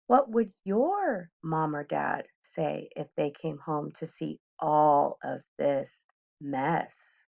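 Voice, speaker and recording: Lively, expressive woman reading to children. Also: low-pitched, slow, vocal fry. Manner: expressive, addresses children directly. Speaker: teacher reading aloud. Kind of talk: children's storybook read-aloud with questions to listeners. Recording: dull lo-fi sound.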